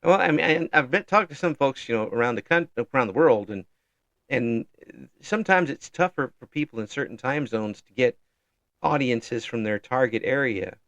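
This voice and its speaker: Man, American accent, casual interviewer, mid-range voice, joking, halting phrasing, no room echo. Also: medium pitch, expressive, a bit bright, dull audio. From United States. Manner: jokey, halting questions.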